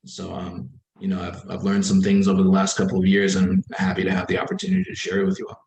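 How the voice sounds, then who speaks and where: A man's voice: deep voice, monotone, fast talker, darkish tone, roomy sound, poor audio. A man in his twenties, a student, introducing himself on a video call in a modest, hesitant way. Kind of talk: casual self-introduction.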